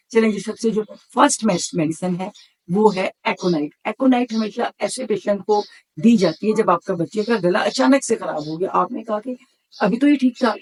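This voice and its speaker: A woman's voice: medium pitch, rough recording. Middle-aged woman explaining health advice. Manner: instructive, listing points with repetition. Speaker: homeopathic doctor presenting health advice. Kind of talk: explanatory health talk to camera.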